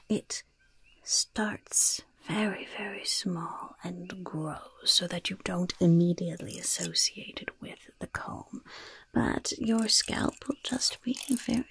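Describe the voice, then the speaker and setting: A woman's voice: mid-range voice, slow pace, dry recording, exaggerated voice, low-quality recording. A woman voicing a sly goblin shopkeeper who sells poisons and curses, in a gleefully understated, coaxing whispered ASMR roleplay. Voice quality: breathy, gravelly whisper. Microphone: close microphone.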